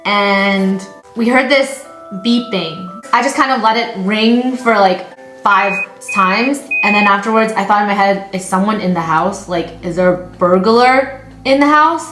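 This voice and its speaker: A woman's voice: medium pitch, unhurried. A young woman telling a casual anecdote among friends in a chatty, conversational way. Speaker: storyteller. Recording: roomy echo, faint music behind.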